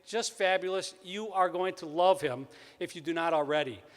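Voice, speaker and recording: A man from Wisconsin with a Wisconsin accent, emceeing a college convocation with upbeat announcements and jokes. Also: moderate pace. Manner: upbeat, joking, booming sports-announcer intro. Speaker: event emcee. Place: large hall. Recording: long hall reverb.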